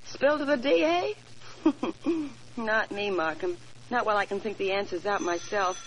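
A woman's voice: mid-range voice, expressive, moderate pace, fairly bright tone, close to an everyday voice. An actress playing a tough, slangy young woman in 1940s radio drama style. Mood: wary. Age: young adult. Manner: slangy, wisecracking. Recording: noisy old radio recording.

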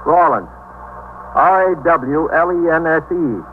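An actor playing a patient, polite American police desk sergeant in a 1950s radio drama, plain-spoken and reassuring. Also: male voice, fairly high pitch, slow. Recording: narrow-band 1950s radio recording, faint noise.

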